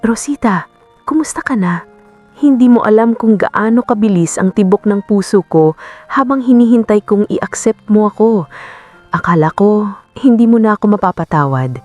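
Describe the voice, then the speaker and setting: A woman's voice: mid-range voice, expressive, moderate pace, mellow, soft background music, close to an everyday voice. A woman voicing a remorseful aging man who reaches out to a lost love, hesitant and apologetic. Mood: nervous, hopeful.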